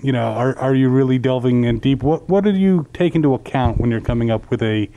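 Middle-aged male interviewer, medium pitch. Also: brisk, warm tone, lo-fi sound. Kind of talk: conversational interview questions. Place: indoor lounge at a convention hotel. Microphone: handheld interview microphone, speaking through a face mask.

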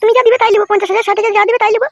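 A woman's voice: very high-pitched, steady tone, racing, no room sound. A woman voicing a blunt, sulky, money-hungry village mother in a cartoon. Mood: eager.

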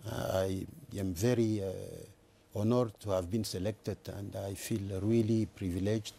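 An older man with a Congolese accent, speaking in a halting, deliberate way as a guest candidate in a TV panel interview. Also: on the low side, fairly even intonation, mellow, breathy edge. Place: TV news studio, indoors. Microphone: lapel mic.

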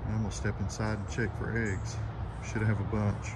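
A man's voice: lowish voice, little pitch movement, normal speed. A man with a Southern US accent casually narrating his backyard chores in a folksy, plainspoken way while outdoors in a garden. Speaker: homesteading vlogger. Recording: outdoor background noise.

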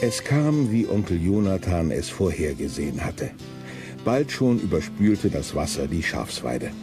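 A man's voice: low-pitched, sing-song, unhurried, over music, full character voice. A man reads scripted narration as the storyteller of a detective audio drama for young listeners. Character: male storyteller of a youth detective tale.

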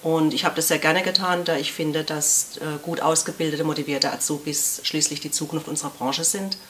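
A woman's voice: low voice, fairly even intonation, moderate pace, background noise. A woman who trains apprentices at a music store, speaking to camera in a testimonial from an indoor room with a piano.

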